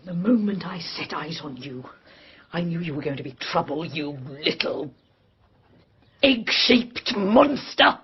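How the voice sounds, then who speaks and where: An actress playing a gruff, bitterly defiant villainess in a radio drama. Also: female voice, fairly low pitch, animated, a little slow, highs cut off. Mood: venomous.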